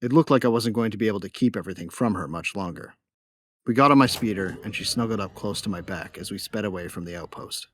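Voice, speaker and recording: A man's voice: on the low side, fast talker, fairly bright tone, slight background noise, natural voice. A man playing a young bounty hunter in a sci-fi audio drama, telling his story in a wry, laid-back, cocky way. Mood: wry narration. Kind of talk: first-person audio drama narration.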